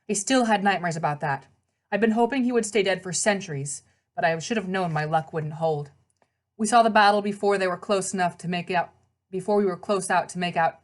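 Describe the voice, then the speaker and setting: A woman reading a children's novel aloud as narrator, in scripted storybook narration. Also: mid-range voice.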